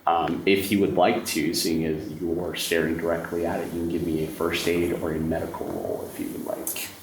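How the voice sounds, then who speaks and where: Deep guy running a horror ttrpg, narrating scenes, echoey w a bit of noise. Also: brisk, gravelly. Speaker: game master. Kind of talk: narrating a tabletop horror game. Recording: echoey room, faint noise.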